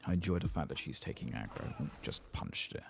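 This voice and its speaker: A soft-spoken man muttering casual ASMR commentary as he plays a game, with a husky, gravelly voice. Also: rumbling low, expressive, brisk. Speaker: gameplay streamer.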